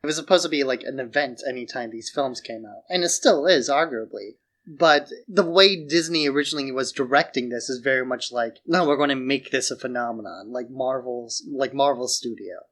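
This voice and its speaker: A man's voice: medium pitch, fairly quick, fairly bright tone. A man co-hosting a podcast in an informal, chatty way, often dropping "like" into his sentences. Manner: informal, peppers speech with "like". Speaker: podcast co-host. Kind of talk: casual chat.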